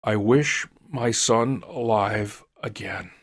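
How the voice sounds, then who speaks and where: A man's voice: fairly low pitch, takes it slowly, husky, no room sound. A man playing a frightened old husband in a storybook, shaky, faltering and alarmed. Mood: fearful, faltering. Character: frightened, tender old husband, aghast and unsteady.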